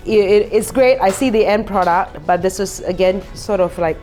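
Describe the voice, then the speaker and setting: A woman hosting a feature, narrating a scripted introduction and asking interview questions with a measured, clear presenter delivery. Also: mid-range voice, a little mellow, soft background music. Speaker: host and interviewer.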